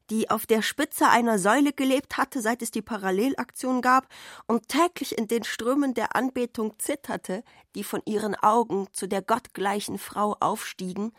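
Higher-pitched female narrator, closely dry. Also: no echo. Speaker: narrator of a radio play. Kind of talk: literary scripted narration.

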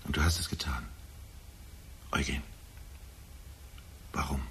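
A man's voice: a bass voice, takes it slowly, pushed and bright, full character voice. An actor playing a bitter, volatile older father and jealous lover in a German radio drama, brooding and accusing. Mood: grave, accusing. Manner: brooding, accusing, prone to agitated outbursts.

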